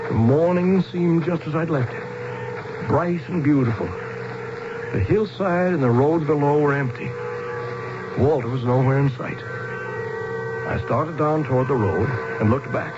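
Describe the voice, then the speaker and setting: An actor playing an earnest, bewildered traveler, with dramatic 1970s radio drama delivery. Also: male voice, on the high side. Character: bewildered, earnest traveler telling his strange tale. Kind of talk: dramatic first-person narration, 1970s radio acting. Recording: dull radio broadcast with loud music.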